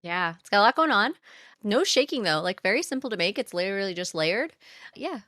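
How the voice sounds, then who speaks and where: A woman's voice: mid-range voice, sing-song, fairly quick, fairly bright tone, no echo, clean studio sound. A woman co-hosting a book podcast, chatting casually and upbeat with lots of fillers, sounding nasal from a cold. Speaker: podcast co-host.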